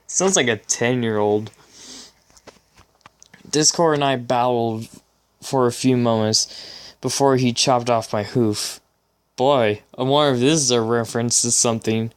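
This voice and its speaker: A man's voice: medium pitch, measured pace, long pauses, smooth. A man hosting a casual comedic fan fiction reading, informal and amused, stumbling over his words as he goes. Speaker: channel host.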